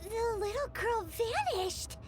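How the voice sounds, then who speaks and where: A woman voicing a tiny, childlike fairy companion in a video game, squeaky, excitable and exclamatory. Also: a little slow, faint music behind. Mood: alarmed.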